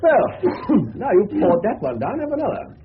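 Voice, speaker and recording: An actor playing an eccentric, commanding senior police officer in a 1970s radio drama, with an upper-class English accent and a pompous, whimsical drawl. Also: male voice, high voice, huge pitch swings, moderate pace. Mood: brisk, musing. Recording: muffled narrow-band old radio recording, hiss.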